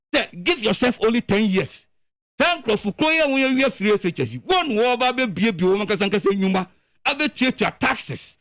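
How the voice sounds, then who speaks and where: A Ghanaian man with a strikingly high, gravelly voice gives animated political commentary on a radio show. His pitch leaps around as he presses his points, repeating words for emphasis. The sound is close and dry. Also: no room sound.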